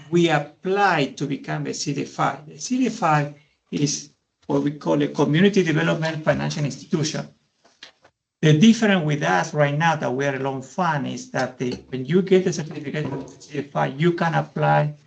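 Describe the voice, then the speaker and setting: Gravelly Argentine-accented man presenting. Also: fairly high pitch. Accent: Argentine Spanish. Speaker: community loan fund CEO presenting. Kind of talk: meeting presentation.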